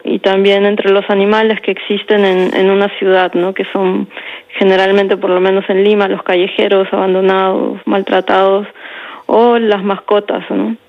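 Woman writer in her forties, even and reflective, with fry in the voice, heard on muffled radio audio. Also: mid-range voice. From Peru. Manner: reflective, often adds "¿no?" and repeats words. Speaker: writer, interview guest. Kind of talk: interview answers.

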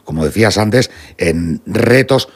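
Husky low-voiced Spanish interviewer. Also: male voice, animated, measured pace. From Murcia, Spain. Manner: hesitant, with many fillers. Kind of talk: interview questions.

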